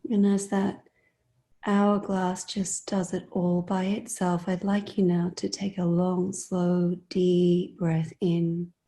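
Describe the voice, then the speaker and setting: A woman leading a guided meditation in a soft, sometimes breathy voice, soothing and gentle, leaving long pauses between phrases. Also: lowish voice, little pitch movement.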